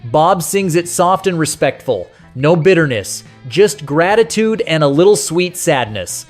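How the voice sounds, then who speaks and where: Guy narrating a nostalgic music countdown, kinda high voice, talks like he's telling stories, music underneath. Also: animated, unhurried. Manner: nostalgic, colloquial storytelling. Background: soft rock music behind the voice.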